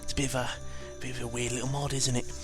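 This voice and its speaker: A man's voice: medium pitch, flat delivery, fast talker, over music. A man giving casual, rambling game commentary, informal and sweary, often correcting himself as he goes. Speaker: gaming video commentator.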